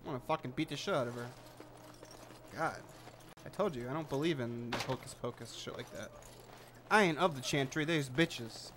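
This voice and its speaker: A male gamer commentates casually on his own play. His voice sits at an ordinary pitch, and he is flippant and foul-mouthed, often muttering, with faint noise behind him. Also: moderate pace, pauses often, fairly bright tone. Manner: sweary, flippant, muttering to himself.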